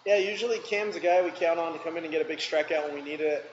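A man's voice: fairly high pitch, quick pace. A man in his thirties, a baseball team manager, answering press questions in a plainspoken, matter-of-fact way at a table mic. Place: press conference room, seated at table. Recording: echoey room, dull noisy sound.

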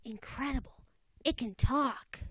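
A woman in an anime fan dub playing a gentle young boy, earnest and worried. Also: high voice, a little slow, breathy edge, heavily acted. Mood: awed. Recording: loud dramatic score over voice.

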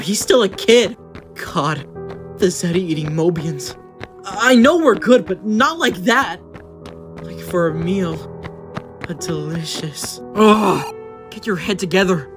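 A man voicing a troubled, edgy young hedgehog hero with halting, agitated delivery in a cartoon comic dub. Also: high-pitched. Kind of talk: fan comic dub acting.

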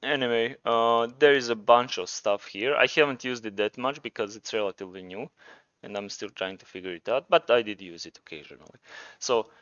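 A man's voice: medium pitch, fairly quick, a bit bright, smooth, dry recording, muffled recording. A man with a Croatian accent presenting a programming tutorial, talking informally and thinking aloud as he demonstrates. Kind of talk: casual screencast tutorial narration.

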